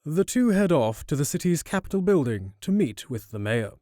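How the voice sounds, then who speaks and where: A man's voice: fairly high pitch, big pitch swings, moderate pace, mellow, no room sound, studio quality. A man reading scripted narration for an audio drama.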